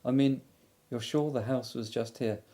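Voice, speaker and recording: A man's voice: medium pitch, steady tone, moderate pace, warm tone, pitched above the performer's own voice, lightly acted. A man voicing an eager, simple teddy bear. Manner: eager, hesitant.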